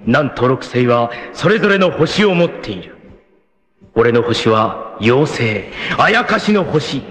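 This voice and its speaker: An actor playing a vain, scheming, effete warlord villain in a cartoon, with a haughty, theatrical, word-savoring delivery. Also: male voice, mid-range voice, very animated, unhurried, fairly bright tone. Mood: gloating. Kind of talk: theatrical anime villain acting.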